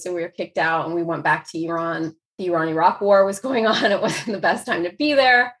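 A woman in her forties from the United States, talking casually as an author being interviewed, with lots of "like" and frequent laughter. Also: fairly low pitch. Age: 40s. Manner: chatty, laughs often, many "like" fillers. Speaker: author guest.

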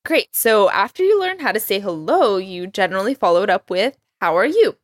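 A young woman raised in Texas, speaking with a Texan American accent, hosting a podcast language lesson with clear, careful pronunciation. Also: mid-range voice, big pitch swings, fairly quick, no room sound, studio quality. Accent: American English, Texas. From Iran. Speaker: language teacher, podcast host.

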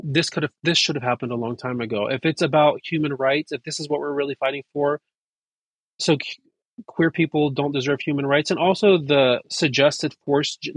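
A man, raised speaking Persian at home, talking in an animated way with quick bursts and restarts as an interview guest. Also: fairly high pitch, husky, dry recording. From United States. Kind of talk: casual interview.

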